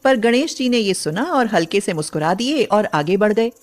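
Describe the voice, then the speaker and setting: A woman's voice: mid-range voice, animated, moderate pace. A woman narrating a scripted bedtime story as a storyteller.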